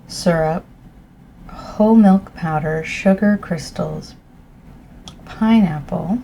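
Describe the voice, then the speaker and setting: A slow, soft-spoken middle-aged woman with a mellow, slightly low voice, croaky and often clearing her throat. She rambles gently with fillers as she tastes tea at home. A faint hiss sits under the recording. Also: poor audio. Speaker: ASMR tea reviewer.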